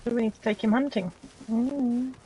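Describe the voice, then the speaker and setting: A woman in her forties, hoarse and losing her voice, chatting playfully and teasing while gaming on a stream. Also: on the high side, fairly even intonation, moderate pace, mellow, rough recording. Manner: playful, teasing, coos at animals. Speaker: streaming co-player. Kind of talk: casual chat while gaming.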